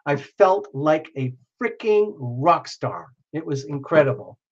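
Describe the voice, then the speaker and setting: A man's voice: fairly high pitch, expressive, unhurried, husky, dull audio. Exuberant man giving a live tarot reading. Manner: exuberant, chatty, addresses viewer by name. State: losing his voice. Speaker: tarot reader hosting a live stream.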